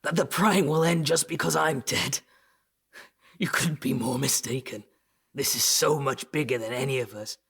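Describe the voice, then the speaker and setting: A man's voice: medium pitch, moderate pace, creaky, a bit bright, breathy edge. A man playing a sneering, ruthless megalomaniac villain in a sci-fi audio drama, gloating and condescending. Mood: defiant.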